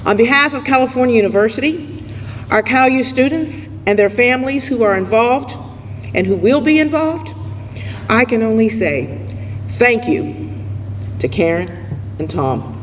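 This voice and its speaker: A woman giving a prepared, formal ceremony speech as an official, with measured and deliberate phrasing. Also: fairly high pitch, animated. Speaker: university official introducing donors. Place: large room, public event. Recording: echoey hall with background noise.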